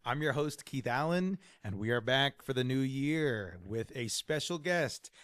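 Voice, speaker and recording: Male podcast host, upbeat, playful, curious, mid pitch, dry close sound. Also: animated, a little slow, a bit bright, clear, resonant tone, no room sound. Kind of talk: casual interview chat.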